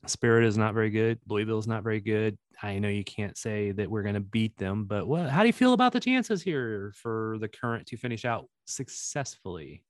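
A man's voice: lowish voice, big pitch swings, fairly quick, hardly any pauses, dry recording. Guy w a missouri accent hosting a sports pod, dry sound, kinda rambly and jokey. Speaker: podcast host. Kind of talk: casual sports podcast chat.

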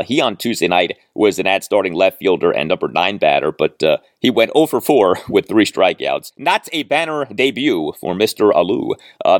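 Low-voiced man with very animated sports delivery. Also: no room sound, clean studio sound. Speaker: sports podcast host. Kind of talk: sports recap commentary.

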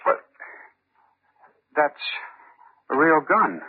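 Nervous young fellow, very lively pitch. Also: male voice, extremely slow, noisy background, heavily acted. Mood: frightened. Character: polite, nervous, hesitant young man. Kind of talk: old-time radio drama acting. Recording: muffled old radio recording.

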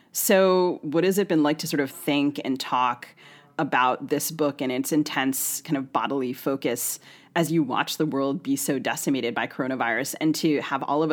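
A woman co-hosting a literary podcast, talking in an articulate, chatty way and building long, winding questions. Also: a bass voice. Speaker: podcast co-host, interviewer. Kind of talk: conversational literary interview.

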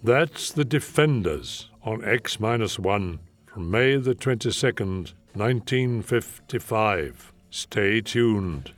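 A man's voice: lowish voice, expressive, slow pace. A man hosting a classic radio programme with a scripted introduction. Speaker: radio show host. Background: faint music.

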